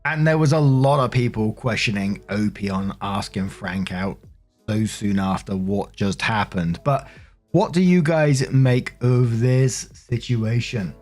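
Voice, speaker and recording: A man's voice: lowish voice, unhurried, darkish tone, faint music behind. A man narrating online stories aloud as a show host, conversational and informal, with casual commentary.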